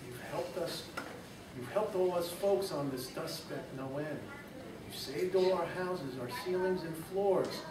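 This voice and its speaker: Brief: hushed polite little mayor, read by a man, higher voice, distant roomy recording. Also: measured pace, lightly acted. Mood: grateful. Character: tiny soft-spoken male town mayor. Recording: roomy, distant mic, background noise.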